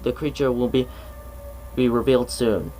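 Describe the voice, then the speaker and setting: A man reading out a mock emergency alert broadcast in a measured, official tone, with stumbles and restarts. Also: mid-range voice, steady tone, warm tone, a little hiss or hum.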